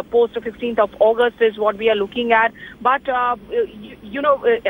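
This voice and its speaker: A woman with an Indian accent giving a live, wordy and explanatory news analysis as a correspondent. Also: a little high. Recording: tinny phone line with faint noise.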